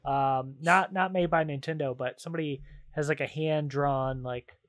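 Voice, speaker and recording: A man's voice: on the high side, fairly even intonation, moderate pace, fairly bright tone, no echo, clean studio sound. A man chatting casually and enthusiastically as a podcast co-host, in informal slangy phrasing. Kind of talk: casual chat about games and films.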